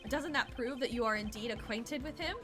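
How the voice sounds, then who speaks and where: A woman voicing a composed male prosecutor in a video game, speaking in a formal, measured, probing way. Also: fairly high pitch, over music, lightly acted. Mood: polite, probing.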